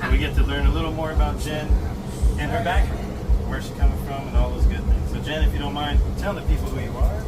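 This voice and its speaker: A man's voice: a little high, steady tone, fast talker. A man from California hosting a casual interview chat, laid-back and slangy. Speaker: host. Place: inside a parked bus. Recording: distant mic, loud music. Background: loud music.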